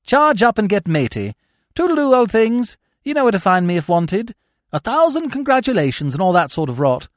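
A man voicing a breezy, foppish young upper-class English gentleman, airy and cheerfully slangy. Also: high voice, very animated, moderate pace, dry recording, clean studio sound, pitched above the performer's own voice. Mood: jubilant. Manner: airy, chirpy, blithe.

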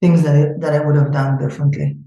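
A man with an Israeli accent answers questions about his startup, pausing often on "uh" and "um" and restarting his sentences. His voice sounds dark and mellow, and it rings in a very echoey room. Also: fairly high pitch, level tone, highs cut off. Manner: hesitant, many fillers and restarts. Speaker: startup founder. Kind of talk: interview answers.

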